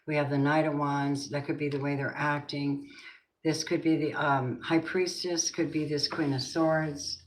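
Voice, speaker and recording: An older woman doing a live tarot reading, chatty and rambling, warmly calling her viewers babies. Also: low-pitched, level tone, unhurried. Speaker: tarot reader. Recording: noisy home stream with clicks.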